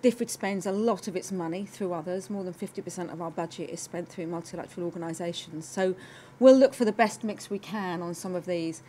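A woman's voice: fairly low pitch, fairly quick, hardly any pauses, warm tone. British woman speaking on a panel, noisy room. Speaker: government development official on a panel. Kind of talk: formal panel remarks. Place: conference room, indoors.

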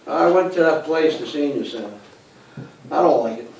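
A man's voice: a little high, animated, moderate pace, a little mellow. Echoey stubborn old grandfather. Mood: downcast, grumbling. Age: older. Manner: gruff, defensive, matter-of-fact. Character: stubborn, cantankerous 85-year-old grandfather, immigrant former cabbie. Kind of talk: live stage acting. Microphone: far camera in the audience. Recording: echoey small theater, distant camera, faint hiss.

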